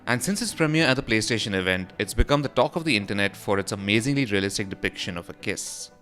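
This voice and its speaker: A man's voice: fairly low pitch, expressive, quick pace. A man reading a scripted voice-over for a gaming news roundup, in the role of presenter. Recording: music behind the voice. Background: background music.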